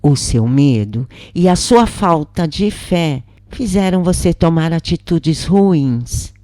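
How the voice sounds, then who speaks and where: A woman's voice: low-pitched, lively intonation, takes it slowly, mellow, no room sound, clean studio sound, pitched above the performer's own voice. A woman with a Brazilian accent voicing a devout, earnest daughter who questions and instructs her mother in a story.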